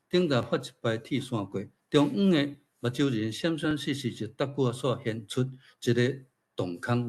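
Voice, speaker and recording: A man's voice: mid-range voice, a little mellow, husky. A man with a Taiwanese accent, an author reading his own fiction aloud and adding informal remarks. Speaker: featured speaker, writer.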